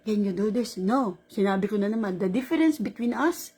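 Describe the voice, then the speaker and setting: A woman in her sixties with a Filipino accent, telling a caregiving story in a casual, animated vlog, laughing often. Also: medium pitch, a little fast, husky. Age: 60s. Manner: animated, laughing, mixes Tagalog and English.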